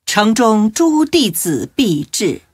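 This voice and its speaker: Female narrator for solemn classical biography: unhurried, deliberate, animated melody, dry studio sound. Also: medium pitch, breathy edge, no room sound. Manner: measured, expressive recitation.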